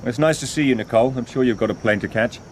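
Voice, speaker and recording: An actor playing a terse British secret agent in a game, cool, understated and dryly wry. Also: male voice, mid-range voice, quick pace, slight background noise, close to an everyday voice. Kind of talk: cinematic game acting.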